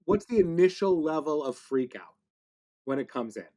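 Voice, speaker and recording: A man's voice: fairly high pitch, expressive, normal speed, mellow, highs cut off. A man hosting a podcast interview in a casual style with dry joking.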